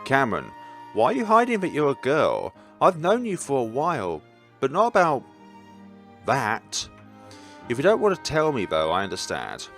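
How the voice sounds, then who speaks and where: Man reading as an easygoing, expressive teenage boy. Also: mid-range voice, moderate pace. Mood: gentle. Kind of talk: visual novel dialogue read aloud. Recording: background game music.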